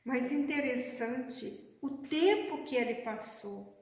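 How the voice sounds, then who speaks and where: A woman preaching a sermon in a church, speaking slowly and calling the congregation beloved. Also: high-pitched. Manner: slow, measured preaching, addressing the congregation as beloved. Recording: echoey church hall.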